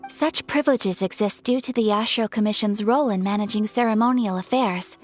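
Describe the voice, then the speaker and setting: A woman voicing a composed young noblewoman in a video game, speaking in a formal, measured and polite manner. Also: medium pitch, soft background music, close to an everyday voice. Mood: calm formal. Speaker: noble of a ceremonial commission.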